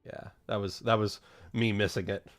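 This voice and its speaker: A man's voice: lowish voice, fairly even intonation, normal speed, soft background music. A man chatting casually as a player in a tabletop role-playing game.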